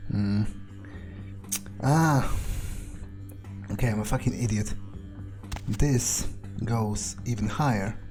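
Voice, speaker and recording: A man's voice: on the low side, takes it slowly, long pauses. A man thinking aloud in character during a video game playthrough, musing casually to himself and swearing freely. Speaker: gamer playing in character as a vampire. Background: music behind the voice.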